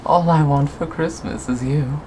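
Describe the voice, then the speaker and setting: A man acting an obsessive, sadistically tender lover in a scripted ASMR roleplay, taunting with breathy chuckles. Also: fairly high pitch, fairly even intonation, a little slow, a little mellow, a little hiss or hum, close to an everyday voice, poor audio. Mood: wounded, tenderly taunting.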